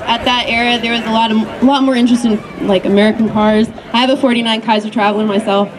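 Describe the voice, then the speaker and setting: A woman's voice: a little high, steady tone, quick pace. A woman from Oakland, California, answering casually on stage as a pinup contestant, with a few hesitant fillers. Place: outdoor car show. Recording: loud outdoor crowd and noise.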